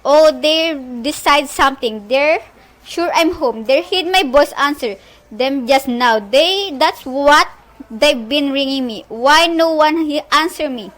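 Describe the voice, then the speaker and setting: A nervous, demanding wife alone at home, played by a young woman in an amateur radio drama. The voice is high and the lines come slowly, with stumbles over the words. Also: animated, a bit bright. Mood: fretful, anxious. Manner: halting line delivery, stumbling over words. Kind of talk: amateur radio play acting.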